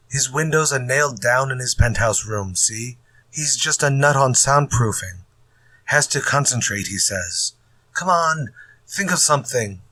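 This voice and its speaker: An actor playing an exasperated, fretful mystery writer in a retro radio drama. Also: male voice, mid-range voice, sharp tone, no room sound. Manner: fretful, muttering to himself when stuck. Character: exasperated male mystery writer stuck on his story.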